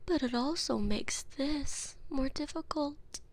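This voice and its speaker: A woman voicing a cold, elegant female vampire mistress who speaks slowly and measuredly, often dropping into a whisper, recorded on a binaural microphone. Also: high-pitched. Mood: whispering, cruel. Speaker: audio roleplay performer. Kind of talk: binaural audio roleplay.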